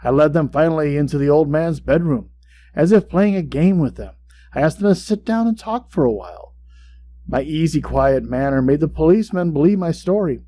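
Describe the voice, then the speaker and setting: A man's voice: a little high, animated, lightly acted. Man acting a mad gothic murderer, obsessive, noisy recording. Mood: feigned calm. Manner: dramatic, deliberate, swinging between hush and outbursts. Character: mad murderer narrating his crime, tense, obsessive, boastful. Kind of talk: theatrical gothic horror storytelling. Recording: clear background hum and noise.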